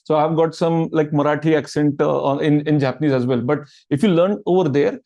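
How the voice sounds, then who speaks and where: A man's voice: fairly high pitch, steady tone, fairly quick, mellow, no echo, muffled recording. Man peppering talk with "right, like". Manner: filler-heavy, repeats "right, like". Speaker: guest speaker answering questions. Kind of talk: casual Q&A talk.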